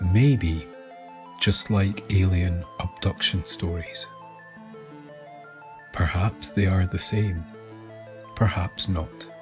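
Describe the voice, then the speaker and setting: Male narrator, Scottish. Scripted mysterious storytelling, lowish pitch, unhurried pace, pauses for effect. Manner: measured, deliberate, with dramatic pauses. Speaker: writer and narrator of the show. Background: music bed.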